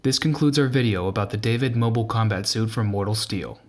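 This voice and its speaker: A man reading scripted documentary narration in a measured, formal manner. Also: lowish voice. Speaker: video narrator.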